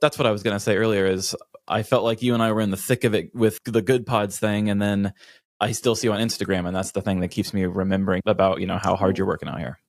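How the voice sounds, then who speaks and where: Male podcast co-host, lower register, close dry sound, chatty and self-deprecating. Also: fairly even intonation, fast, gravelly, dry recording. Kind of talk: casual podcast chat.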